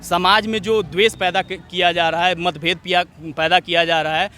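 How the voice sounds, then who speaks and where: Young man, high-pitched, forceful bright tone, earnest, speech-like phrasing, street interview. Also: little pitch movement, moderate pace, slight background noise. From Jharkhand, India. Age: young adult. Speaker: student leader being interviewed. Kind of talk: opinionated street interview answers.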